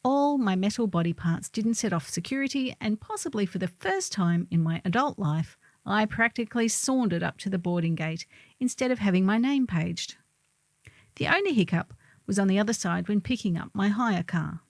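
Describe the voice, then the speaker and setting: A woman's voice: medium pitch, expressive, moderate pace, no room sound. Middle-aged woman, dry close recording. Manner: wry, theatrical storytelling with acted-out quotes. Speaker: columnist reading own humorous article. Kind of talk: scripted narration of a humorous first-person column.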